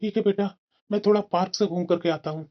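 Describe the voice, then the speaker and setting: A woman's voice: medium pitch, fairly even intonation, quick pace, close to an everyday voice. Woman as weary old father-in-law, dull sound. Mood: weary coaxing. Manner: plaintive, coaxing. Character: elderly father-in-law, weary, gentle, crafty. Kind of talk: Hindi moral-story cartoon acting.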